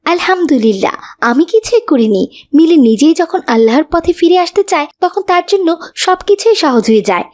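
A woman's voice: very high-pitched, lively intonation, normal speed, a little mellow. A woman voicing a humble, devout young girl who advises earnestly in a cartoon. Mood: reassuring. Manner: earnest, instructive.

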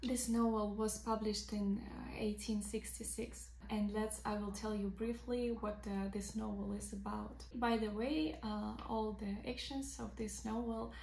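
A young Russian woman, a teacher of literature, talking to camera in English with a Russian accent, halting with many fillers and simple grammar. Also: mid-range voice, flat delivery, vocal fry, darkish tone, reverberant, slight background noise. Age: young adult. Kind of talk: casual talk to camera. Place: bright bedroom by big windows, indoors.

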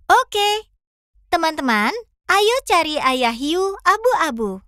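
A woman's voice: extremely high pitch, slow pace, no room sound, clean studio sound, heavily acted. A woman voicing a perky, caring young fox who hosts a children's cartoon and talks to the viewers. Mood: cheerful, curious. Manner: animated, clear, addressing children.

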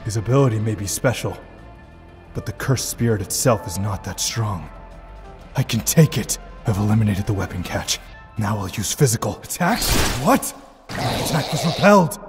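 A man's voice: fairly low pitch, expressive, moderate pace, music in the background, lightly acted. A young man voicing an earnest, intense sorcerer in a manga dub, serious and dramatic. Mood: combative. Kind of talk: amateur anime manga dub acting.

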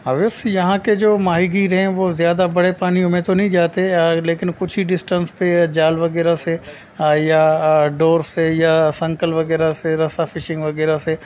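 A man with a Pakistani accent giving an informal market report, pausing often on drawn-out fillers. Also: a little high, level tone, darkish tone, a little hiss or hum. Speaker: host of fish market report vlog.

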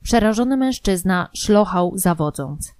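A woman reading scripted documentary narration in a measured, formal manner. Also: mid-range voice, lively intonation, darkish tone, no echo, clean studio sound.

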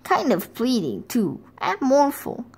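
A woman voicing a bunch of small, excitable chicks in a storybook read-aloud, squealing and excited. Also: fairly high pitch, takes it slowly, husky, heavily acted.

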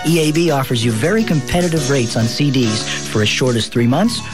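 A man's voice: mid-range voice, expressive, fairly quick. An American man reading a scripted radio commercial in a folksy, wry way. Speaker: commercial announcer. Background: music bed.

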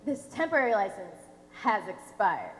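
A woman's voice: medium pitch, very animated, close to an everyday voice. A young woman playing a smug, pedantic, obstructive government clerk in a comic stage play. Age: teenager or young adult. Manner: pedantic, drawn-out deliberate delivery. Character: smug, officious, obstructive female DMV clerk. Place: theatre stage, recorded from a distance. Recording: echoey hall, noisy stage recording.

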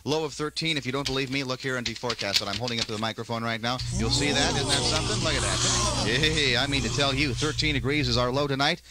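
A man's voice: medium pitch, fast, very noisy. A man working as a morning DJ on a 1970s country radio show, with playful, joking patter and forecasts. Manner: joking, playful deadpan bits. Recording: 1978 AM radio aircheck.

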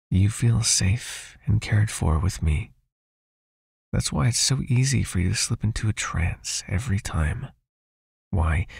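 A man playing a gravelly, seductive vampire master. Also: deep voice, no room sound, studio quality, heavily acted. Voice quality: breathy, gravelly, murmuring. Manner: slow, coaxing, intimate, softly commanding. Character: seductive, possessive male vampire master, hypnotic and condescendingly tender. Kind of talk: ASMR hypnosis roleplay.

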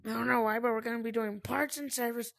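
Gravelly-voiced woman losing her voice. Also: on the high side, steady tone, fast. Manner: chatty, reacts out loud while playing. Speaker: gaming video host. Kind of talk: casual gameplay commentary.

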